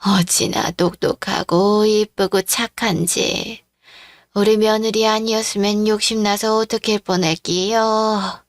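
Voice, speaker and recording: A woman's voice: medium pitch, fairly even intonation, takes it slowly, no room sound, clean studio sound. A woman playing a frail, confused elderly mother-in-law, tender and longing, in a radio-drama style story. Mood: tender, dreamy.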